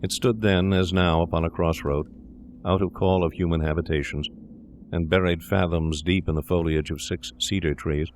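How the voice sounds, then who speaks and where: A man narrating a scripted gothic story in a measured, solemn, literary manner. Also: deep voice, steady tone, slight background noise.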